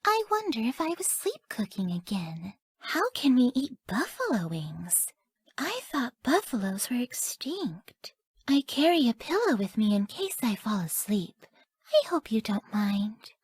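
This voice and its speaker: A woman voicing a sweet, sleepy, scatterbrained young woman in a video game, with a soft, airy, naive delivery. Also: on the high side, sing-song, a little slow, dry recording, exaggerated voice. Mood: puzzled, uneasy. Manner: soft, airy, gentle, often dropping to breathy near-whispers. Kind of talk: video game character voice lines.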